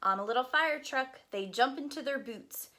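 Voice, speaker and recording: A woman's voice: high voice, moderate pace, pushed and bright, a little hiss or hum. A woman voicing an eager, proud little fire truck in a children's picture book, with emphatic sing-song rhyming delivery.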